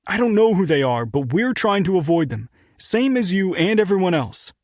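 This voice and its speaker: A man voicing a weary young American man who speaks earnestly and calmly to talk his way out of danger. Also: sits high, normal speed, no room sound, clean studio sound, pitched above the performer's own voice. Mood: pleading. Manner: earnest, placating. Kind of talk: audiobook character voice.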